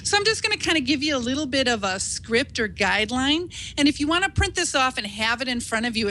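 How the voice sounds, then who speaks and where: A woman's voice: mid-range voice, sharp tone, slight background noise, rough recording. A woman giving an informal instructional talk in an encouraging, reassuring, conversational way, like a teacher walking beginners through the steps. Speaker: instructor, ham radio operator.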